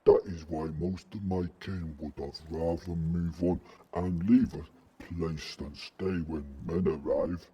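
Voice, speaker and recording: A man voicing a gigantic, peaceable troll in an audio drama, husky and ponderous, with broken grammar and a wariness of violent humans. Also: low voice, fairly even intonation, takes it slowly, a little hiss or hum. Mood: grave, reluctant. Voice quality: husky, dark voice.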